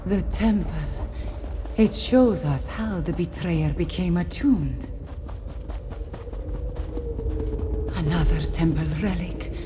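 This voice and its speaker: An actress playing a solemn, regal and devout cat-folk heroine in a video game, measured and reverent. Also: female voice, lowish voice, noisy background, lightly acted.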